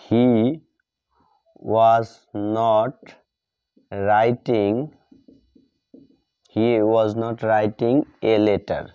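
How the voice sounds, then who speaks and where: A man's voice: on the low side, flat delivery, drawn-out words, pauses often, mellow, clean tone, dry recording. A man with a Bengali accent teaches grammar, repeating phrases and spelling words out as he writes examples. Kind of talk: classroom grammar lesson, writing out examples while explaining. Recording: dull lo-fi recording.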